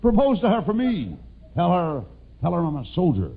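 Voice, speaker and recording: A man's voice: high-pitched, wildly swooping pitch, moderate pace, full character voice. Blustering, wildly swooping radio straight man. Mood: exasperated, urgent. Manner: theatrical, emphatic, exasperated. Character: blustering, bossy straight man as lovesick colonial soldier. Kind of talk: 1940s radio comedy acting. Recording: tinny, hissy old-time radio recording.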